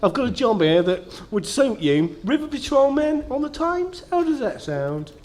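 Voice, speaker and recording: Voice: high voice, big pitch swings, normal speed, a little mellow. Someone playing a curt, officious careers advisor man. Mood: dry impatience. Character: short stumpy male careers advisor, dry and impatient. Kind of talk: comic radio sketch acting.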